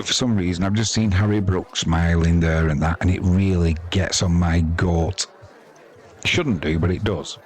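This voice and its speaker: A man's voice: deep voice, darkish tone. A man giving chatty, informal live cricket commentary with wry, colloquial asides. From England. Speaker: cricket commentator and stream host. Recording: crowd noise behind commentary. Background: stadium crowd.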